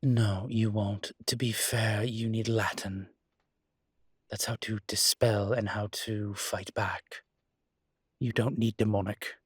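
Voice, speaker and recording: A man's voice: on the low side, fairly even intonation, slow, breathy edge, no room sound, clean studio sound. A man playing a refined incubus demon professor in an intimate audio roleplay, explaining things in a hesitant, genteel and slightly irritated but patient manner. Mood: thoughtful, explaining.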